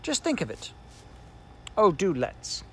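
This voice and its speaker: A man voicing a gentle older girl in an audiobook, speaking in an earnest, pleading way. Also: sits high, huge pitch swings, slow, slightly rough, voice pushed up, exaggerated voice.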